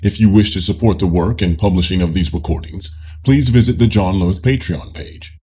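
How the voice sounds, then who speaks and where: A man reading a scripted nonfiction audiobook in a measured, formal manner. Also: low voice, darkish tone, breathy edge. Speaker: audiobook narrator.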